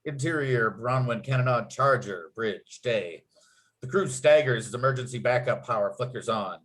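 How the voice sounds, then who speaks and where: A man's voice: mid-range voice, moderate pace, fairly bright tone. A man reading script stage directions aloud as narrator, with clipped, dramatic scene headings. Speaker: narrator and writer.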